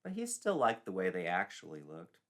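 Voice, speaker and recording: A man's voice: low-pitched, wildly swooping pitch, normal speed. A man narrating a scripted comic tale in a theatrical, arch, mock-dramatic manner. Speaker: storyteller, narrator.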